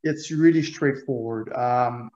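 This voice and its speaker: A man whose first language is French tells a story as a guest author, animated and full of analogies and fillers. His voice sits at a middle pitch. The sound is roomy and echoey, with a dull, lo-fi tone. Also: slow pace. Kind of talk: conversational interview.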